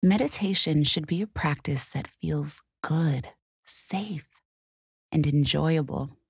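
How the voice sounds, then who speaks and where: A woman leading a guided meditation in a calm, gentle, soothing and measured way. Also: sits low, vocal fry, husky, no room sound, studio quality.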